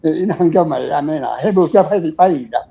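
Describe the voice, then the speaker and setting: Gravelly, mellow Taiwanese man chatting casually. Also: a little high, lively intonation, fast. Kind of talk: casual chat. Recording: muffled lo-fi sound with music behind.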